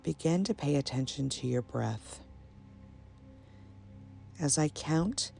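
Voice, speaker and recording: A woman guiding a meditation in a soothing, measured manner, pausing between short phrases. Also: low voice, expressive, gravelly, a little hiss or hum. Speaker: meditation guide. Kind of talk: guided meditation, slow and soothing. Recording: soft binaural music bed. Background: ambient binaural meditation music.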